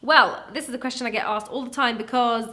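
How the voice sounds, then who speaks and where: A woman's voice: fairly high pitch, quick pace. A young woman from Wales, chatting casually through a question and answer vlog. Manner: chatty, animated, acts out voices in her stories. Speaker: vlogger. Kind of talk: casual Q&A chat.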